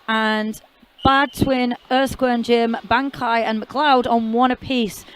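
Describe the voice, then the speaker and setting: A woman's voice: a little high, steady tone, slow, clear, resonant tone. A woman commentating roller derby live, chatty and jokey, with rambling asides. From half Welsh, never lived in Wales. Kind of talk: casual live sports commentary.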